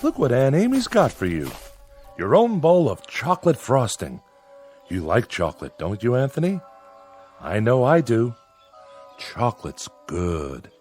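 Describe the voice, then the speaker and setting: A man's voice: medium pitch, huge pitch swings, measured pace, a little mellow. An actor playing a nervous, falsely cheerful father in a radio drama, soothing and placating as he talks. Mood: placating, forced cheer. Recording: music under the voice.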